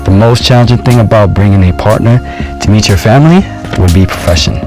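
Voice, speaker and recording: A man's voice: fairly low pitch, fast, music in the background. A Jamaican-born man in his thirties on a dating show, talking casually and joking around. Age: 30s. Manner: playful, jokey, puts on voices when telling stories. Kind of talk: interview and casual date chat.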